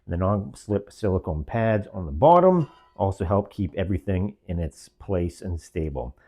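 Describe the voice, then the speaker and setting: A man with a low-pitched, dark and relaxed voice walks through a product demonstration. He sounds like an online reviewer, explanatory and orderly, listing features in short phrases. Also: normal speed.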